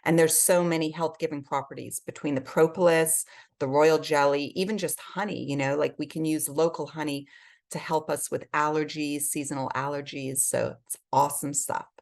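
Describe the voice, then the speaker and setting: Effusive low-pitched woman podcast guest. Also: steady tone, moderate pace, gravelly, darkish tone. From Switzerland and England. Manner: effusive, emphatic. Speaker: podcast guest, health advocate. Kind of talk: conversational interview.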